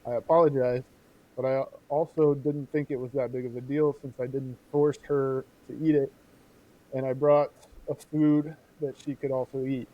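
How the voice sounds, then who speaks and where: A man's voice: mid-range voice, level tone, moderate pace, dull audio. A man co-hosting a podcast in an informal, conversational way. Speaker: podcast co-host. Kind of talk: casual chat and reading posts aloud.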